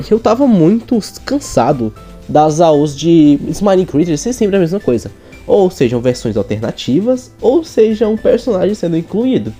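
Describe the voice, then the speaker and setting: A man's voice: high voice, mellow, husky. A young Brazilian man chatting casually to his viewers in an earnest, informal way. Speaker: vlogger and amateur writer.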